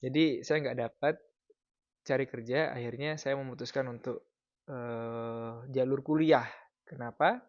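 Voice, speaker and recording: A man in his thirties from Indonesia, giving casual advice in a vlog in a relaxed, explanatory way with frequent fillers. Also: mid-range voice, a little slow, dry recording, highs cut off. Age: 30s. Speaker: vlogger.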